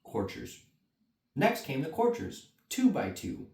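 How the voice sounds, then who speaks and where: A man's voice: fairly high pitch, huge pitch swings, slow pace, vocal fry, darkish tone. A man reading a bedtime story to children with casual asides. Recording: roomy echo, mic at a distance.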